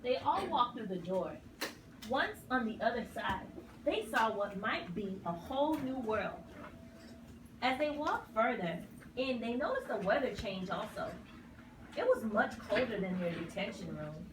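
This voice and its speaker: Female storyteller for a kids' puppet show: lively, fairly high voice, captured off-mic with noise behind. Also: unhurried. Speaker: narrator. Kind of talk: scripted children's story narration. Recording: roomy, distant mic, clear noise.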